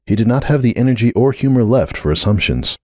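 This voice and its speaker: A man's voice: medium pitch, animated, fast talker, darkish tone, dry recording, clean studio sound. A man giving a dramatic scripted narration of a story.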